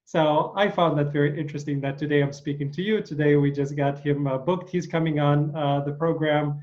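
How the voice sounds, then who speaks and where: A man from Ukraine, hosting an interview and asking questions in a halting way, full of fillers. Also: a little high, fairly even intonation, darkish tone, muffled recording. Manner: halting, many fillers, encouraging. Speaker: interview host. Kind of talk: casual interview.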